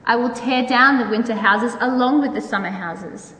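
A woman's voice: fairly high pitch, normal speed, a bit bright. A woman giving a dramatic scripture reading as a church member, solemn and declamatory in manner. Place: large reverberant room. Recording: distant, echoey hall with long reverb.

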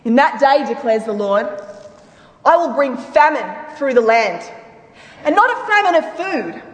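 A woman's voice: high-pitched, very animated, a little slow. A woman giving a solemn, declamatory dramatic reading of scripture with emphatic delivery. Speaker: reader in a church dramatic reading. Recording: echoey hall with long reverb.

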